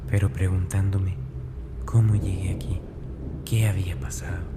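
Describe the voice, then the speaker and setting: Man playing a low-voiced, tormented, brooding young man. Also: heavy background noise, lightly acted. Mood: haunted, dazed. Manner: intense, breathy, often dropping toward a whisper. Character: tormented, brooding young Irish man confessing dark violence. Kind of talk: dramatic confessional monologue in horror audio drama.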